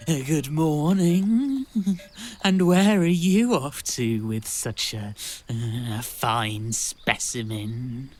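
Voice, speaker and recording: An actress playing a sly, sinister pantomime villain who drawls and savours words with a predatory, leering appetite. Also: female voice, a bass voice, drawn-out words, darkish tone, exaggerated voice. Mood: sly, mocking. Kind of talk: audio pantomime acting.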